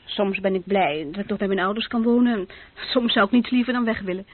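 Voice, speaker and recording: Woman acting as young student girl in a radio play, candid and self-possessed, husky, thin phone-like sound. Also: medium pitch, brisk, no room sound, lightly acted. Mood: friendly, direct. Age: young adult. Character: candid, self-possessed nineteen-year-old female student. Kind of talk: radio drama dialogue. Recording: narrow-band old radio play recording, very dry.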